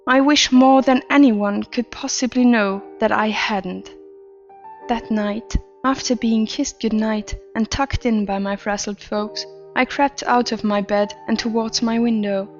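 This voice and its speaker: Actress as twisted, attention-starved woman telling her horror confession; higher voice, measured storytelling, faint music underneath. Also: steady tone, highs cut off. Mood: wistful, ominous. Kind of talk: scripted first-person horror story narration. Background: background music.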